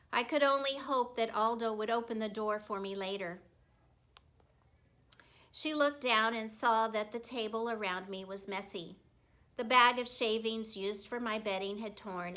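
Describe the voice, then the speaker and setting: Female storybook narrator, teacher-like: expressive, deliberate, bright tone, unhurried pace. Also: medium pitch. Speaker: teacher reading a storybook aloud. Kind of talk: expressive children's audiobook narration.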